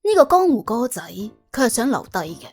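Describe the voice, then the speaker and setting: A woman's voice: a little high, very animated, normal speed, vocal fry. A woman with a Cantonese accent narrating an audiobook as dramatic, wry storytelling. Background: soft background music.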